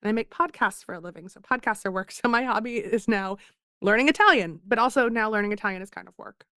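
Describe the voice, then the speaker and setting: A chatty woman in her thirties tells her stories with self-deprecating humor. Her voice is smooth and clear, and it sits dry and close to the mic. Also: mid-range voice, fast talker, sharp tone, no room sound. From Philadelphia. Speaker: author guest. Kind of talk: casual podcast interview.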